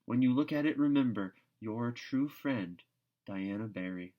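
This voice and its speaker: A man's voice: medium pitch, lively intonation, measured pace, dull audio, lightly acted. A man voicing an affectionate, loyal schoolgirl who writes to her best friend, pleading and emphatic, in a storybook reading. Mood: fond pleading.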